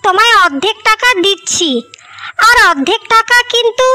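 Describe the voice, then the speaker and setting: A woman's voice: very high voice, expressive, normal speed, bright, forceful tone, full character voice. A woman voicing a devoted, stubborn young working woman in a cartoon story, speaking in an earnest, pleading manner. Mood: eager. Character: devoted, stubborn orphaned young elder sister, working as maid. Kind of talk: Bengali cartoon story dialogue. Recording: music behind the voice.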